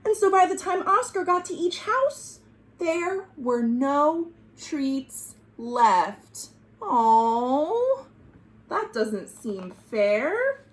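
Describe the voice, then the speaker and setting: Female storytime host: high voice, slow delivery, dramatic exclamations for young listeners. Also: sing-song. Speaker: children's librarian, storytime host. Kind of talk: animated storybook read-aloud for children. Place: at home.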